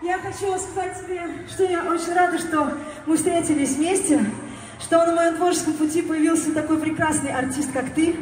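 A woman's voice: very high voice, fairly even intonation, moderate pace, music in the background. Female singer, effusive stage talk, far away over the PA with long reverb. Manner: enthusiastic, effusive. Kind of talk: stage address to the crowd. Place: arena stage, heard through the PA. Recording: distant PA, long arena reverb, noise.

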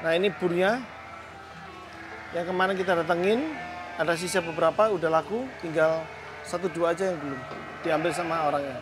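A middle-aged man with a fairly high voice. He chats in a relaxed, matter-of-fact way while showing visitors around his open-air goat barns, recorded on a lapel mic with clear music playing underneath. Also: fairly quick, long pauses. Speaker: goat farm owner giving a tour. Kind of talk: casual explanatory tour talk. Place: outdoor open-sided livestock barns. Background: background music.

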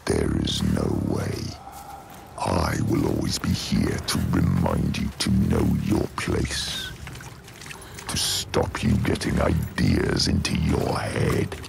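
A man playing a menacing, domineering dark tormentor in a video game, gravelly and cavernous, slowly taunting and belittling. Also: extremely low pitch, little pitch movement, loud music behind the voice, heavily acted. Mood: taunting, cold. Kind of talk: dramatic video game acting.

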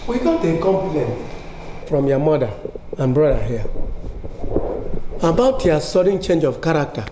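A middle-aged actor playing a placating uncle who pleads for calm in a family drama. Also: male voice, fairly high pitch, unhurried, noisy background. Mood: earnest. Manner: pleading, repetitive. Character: placating older uncle mediating a family quarrel. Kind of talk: dramatic film acting. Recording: echoey room, music behind.

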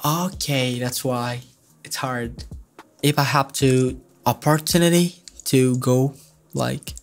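Soft-spoken young man, slow and shy. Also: mid-range voice, fairly even intonation. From Indonesia. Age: young adult. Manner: soft-spoken, bashful, hesitant. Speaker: singer and video chat host. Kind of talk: casual video chat between songs. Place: small home studio room, indoors. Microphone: studio mic on the desk, close. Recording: guitar music in the background.

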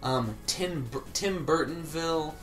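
A man's voice: fairly high pitch, unhurried, a bit bright, distant mic, soft background music. A young man giving casual, joking let's play commentary, rambling and swearing freely.

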